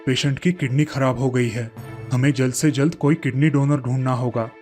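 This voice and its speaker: A man's voice: medium pitch, steady tone, normal speed, darkish tone, pitched below the performer's own voice, natural voice. A matter-of-fact doctor in a cartoon moral tale, read by a man. He speaks plainly and in a businesslike way. Light music is heard underneath. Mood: serious. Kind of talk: animated moral story dialogue.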